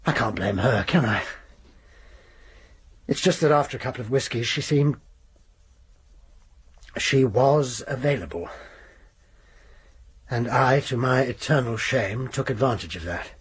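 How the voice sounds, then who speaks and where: A man's voice: medium pitch, measured pace, long pauses, slightly rough. An actor playing a guilt-ridden married man who confesses in a hesitant, defensive way in a radio drama. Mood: guilty, defensive.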